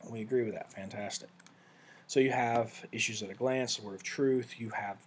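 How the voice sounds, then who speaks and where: A man giving a casual, rambling screen-share walkthrough of study software, often correcting himself mid-sentence. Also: medium pitch, fairly even intonation, moderate pace, slightly rough. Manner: rambling, self-correcting, conversational. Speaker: vlogger reviewing software.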